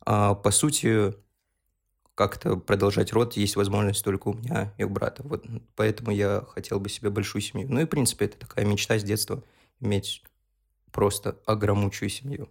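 Gravelly low-voiced young man. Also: little pitch movement, brisk. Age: 20s. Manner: earnest, explains at length with fillers. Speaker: podcast co-host. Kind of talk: casual podcast chat.

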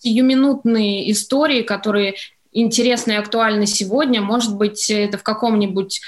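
A woman's voice: mid-range voice, steady tone. A woman answering as an interview guest, speaking diplomatically and choosing her words with some hesitation. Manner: measured, diplomatic, hesitant phrasing. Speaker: interview guest, animation studio executive.

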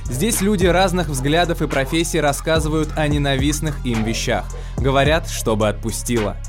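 A man's voice: fairly high pitch, normal speed, music in the background. A man hosting a podcast interview, asking questions in a friendly, laughing manner. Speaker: podcast host. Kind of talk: casual interview.

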